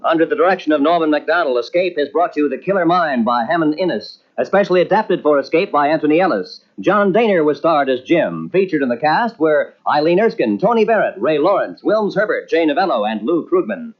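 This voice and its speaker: A man's voice: a little high, sing-song. A man announcing credits and station breaks in formal 1940s radio style. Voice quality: brisk, formal announcer delivery. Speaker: network announcer. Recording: dull lo-fi old radio tape.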